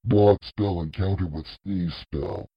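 A dim, dopey fairy tale sidekick, acted by a man, talking slowly in a rough, husky voice. The sound is dry and a little muffled, like a homemade audio play. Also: low voice, steady tone, no echo, pitched below the performer's own voice.

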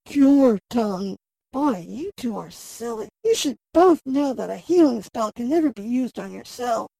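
A man's voice: extremely high pitch, big pitch swings, unhurried, vocal fry, mellow, no echo, pitched above the performer's own voice, full character voice, poor audio. A man voicing bossy, theatrical female characters, witches and a magic cat, in a fairy tale audio drama. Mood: squabbling.

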